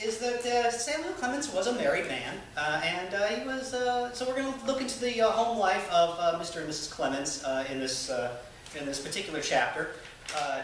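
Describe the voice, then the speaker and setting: A man giving a live reading in a small theatre, chatting to introduce it and telling the story in an animated, theatrical way. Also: sits high. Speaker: reader at a live literary evening. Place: small theatre venue, indoors. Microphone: handheld camcorder at a distance. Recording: echoey room, distant camcorder mic, background noise.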